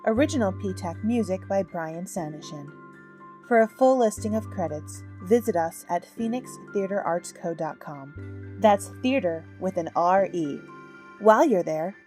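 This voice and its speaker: Warm-voiced woman reading credits. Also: mid-range voice. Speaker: audio drama company announcer. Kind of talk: scripted credits announcement.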